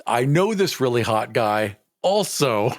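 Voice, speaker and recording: Male podcast editor and co-host. Mid-pitched, dry studio-close sound, conversational, frank, self-mocking. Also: very animated, unhurried, no room sound. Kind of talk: casual podcast banter.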